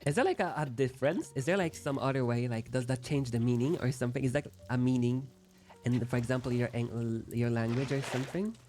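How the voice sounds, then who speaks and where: Brief: young male streamer, mid pitch, loose self-deprecating chatter, light music underneath. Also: brisk, a little mellow. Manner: rambling, playful, self-deprecating. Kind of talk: casual chat while gaming.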